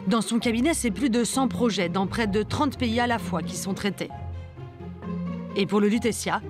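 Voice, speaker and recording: A woman reading a documentary voice-over in a measured, even way. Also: mid-range voice. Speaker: documentary narrator. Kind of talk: scripted voice-over narration. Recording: studio voice-over with music underneath.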